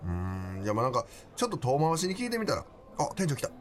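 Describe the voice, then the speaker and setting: Straight-man friend: Kansai accent, rapid, animated, blunt comic retorts for a radio play. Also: mid-range voice. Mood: blunt, teasing. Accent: Kansai Japanese. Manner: blunt, quipping. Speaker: radio drama actor.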